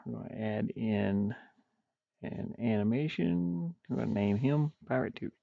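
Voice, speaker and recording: A man with a gravelly voice rambles and mutters to himself, thinking aloud casually as he streams his game development work. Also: fairly low pitch, expressive, measured pace, no echo. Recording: dull, muffled, dry lo-fi microphone.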